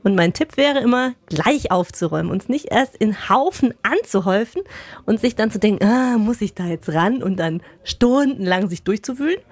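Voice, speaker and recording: Brisk woman, mid-range voice. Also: medium pitch, lively intonation, no room sound. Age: 40s. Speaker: biologist.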